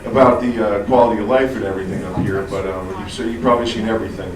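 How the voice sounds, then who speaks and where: A man's voice: lowish voice, steady tone, rapid-fire. A man giving public comment at a town council meeting, polite and hesitant, full of fillers. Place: borough council meeting room. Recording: echoey room, distant mic, loud crowd.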